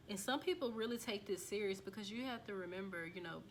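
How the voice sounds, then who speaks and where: A woman's voice: medium pitch, quick pace, a little hiss or hum. An American woman vlogging casually as she reads through results on a screen, talking loosely with lots of fillers and restarts. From United States. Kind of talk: casual talk to camera while reading results off a screen.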